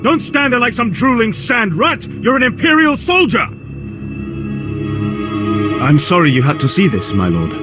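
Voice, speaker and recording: Blunt military field officer over music. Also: male voice, high-pitched, wildly swooping pitch, measured pace, long pauses, heavily acted. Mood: angry, barking. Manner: brusque, military. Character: gruff, weary Imperial army field officer, blunt. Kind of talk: video game cutscene acting. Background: game score.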